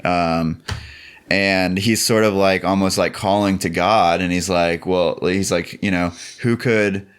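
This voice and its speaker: A man of about thirty in a casual chat as a podcast guest, explaining things in a loose, colloquial, halting way. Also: deep voice, fairly even intonation, smooth. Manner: loose, colloquial, halting with many "like"s and "you know"s.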